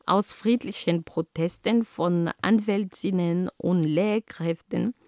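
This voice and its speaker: Slow Cameroonian woman, low and dry. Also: dark tone, no echo. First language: Homala. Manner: slow and deliberate, with frequent fillers and self-corrections. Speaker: speaker on peace and culture. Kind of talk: prepared talk.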